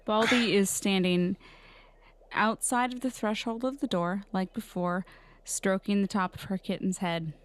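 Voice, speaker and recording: A woman playing a tabletop game, narrating her character's actions in the third person in a quiet, deliberate way. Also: medium pitch, steady tone, background noise. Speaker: tabletop role-playing player.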